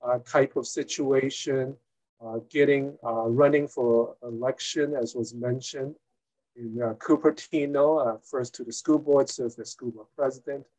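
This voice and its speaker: Slow, measured older man. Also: mid-range voice, fairly even intonation, darkish tone, poor audio. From Hong Kong. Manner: measured, with frequent "uh" pauses. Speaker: guest, former mayor and retired college teacher. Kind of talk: interview answer, recounting his career.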